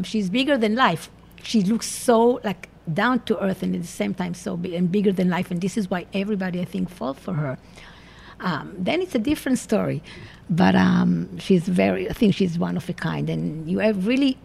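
A woman's voice: mid-range voice, normal speed, vocal fry, darkish tone, husky. A woman from Israel with an Israeli accent, reminiscing in an interview and searching for words as she goes. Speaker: longtime theater company member.

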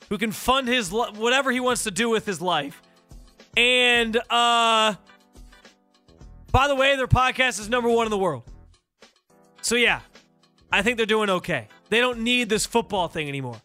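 A young man hosting casual sports talk radio, bantering quickly and poking fun at himself. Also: extremely high pitch, sharp tone. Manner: quick, self-deprecating banter. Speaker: radio host. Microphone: studio microphone.

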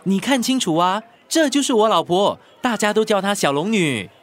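A man's voice: high voice, lively intonation, moderate pace. A man playing a frantic husband in a radio drama, pleading insistently and desperately. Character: frantic, pleading husband searching for missing wife.

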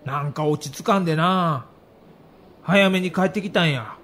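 A man's voice: fairly high pitch, moderate pace, a bit bright, close to an everyday voice. A man voicing a plain-spoken veteran ballplayer with a Kansai accent, musing colloquially in an audio documentary. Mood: uneasy, musing. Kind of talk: acted dialogue in audio documentary.

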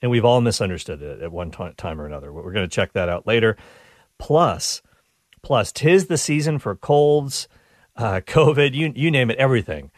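A man's voice: on the low side, animated, normal speed, dry recording, clean studio sound. A man hosting a radio talk show, enthusiastic and chatty, a little stuffed up, restarting words as he goes. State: stuffed up nose. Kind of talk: upbeat radio show monologue.

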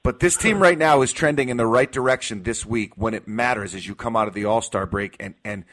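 A man's voice: fairly low pitch, fairly quick, a bit bright. An American man hosting sports talk radio, giving his opinions emphatically and repeating key phrases to drive them home.

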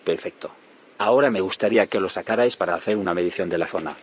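An actor playing a calm, secretive male scientist in an audio drama, speaking in a measured, cryptic, explanatory way. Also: sits low, breathy edge. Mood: assured.

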